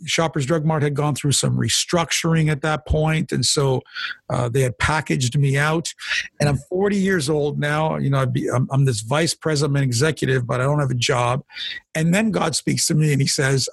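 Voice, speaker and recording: A man's voice: mid-range voice, moderate pace, creaky, husky, no room sound. A middle-aged man, a pastor, earnestly telling his life story in a conversational interview, often restarting and repeating words as he goes. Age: 40s or older. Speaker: pastor and guest. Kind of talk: personal testimony in a conversational interview.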